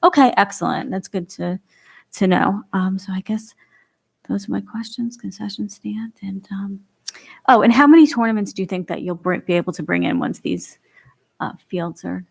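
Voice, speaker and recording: A woman's voice: mid-range voice, moderate pace, creaky, slightly rough. A woman on a town board asking questions at a public meeting in a hesitant, inquisitive way with many fillers.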